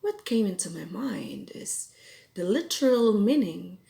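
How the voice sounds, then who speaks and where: A woman's voice: medium pitch, lively intonation, gravelly, mellow. A Filipino woman whose first language is Cebuano, teaching English expressions in a careful, explaining lesson. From Philippines. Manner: slow, careful explaining with quoted example phrases. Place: home in Ireland.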